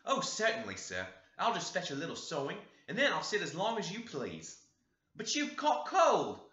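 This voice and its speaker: A man's voice: sits high, wildly swooping pitch, normal speed, pushed and bright, pitched above the performer's own voice, exaggerated voice. A man voicing a talkative, homely woman housekeeper who tells family history with chatty emphasis in an audiobook. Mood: motherly. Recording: roomy, echoey, slightly dull sound.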